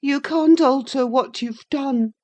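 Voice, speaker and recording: A woman's voice: fairly high pitch, slow, a little mellow, voice pushed up. A woman voicing a sickly, spiteful, self-pitying boy with a whining, pettish manner in a storybook reading. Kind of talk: dramatised classic novel reading.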